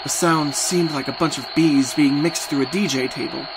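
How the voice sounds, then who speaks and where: A man's voice: fairly high pitch, fairly even intonation, moderate pace, noisy background. A man reading scripted story narration as a dramatic narrator.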